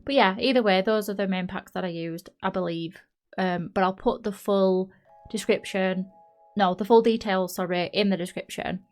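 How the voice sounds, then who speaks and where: A woman's voice: medium pitch, steady tone, normal speed, darkish tone. A woman from the UK, narrating a game build in a rambling, unsure way, her voice slightly nasal from a blocked nose. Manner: rambling, self-doubting, lots of fillers. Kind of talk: casual chatty voice-over. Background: faint background music.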